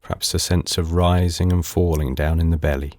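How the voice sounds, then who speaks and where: Very deep-voiced man guiding meditation. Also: no echo, clean studio sound. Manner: calm, unhurried, soothing instruction in short phrases with pauses. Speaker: meditation guide. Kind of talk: guided body scan meditation.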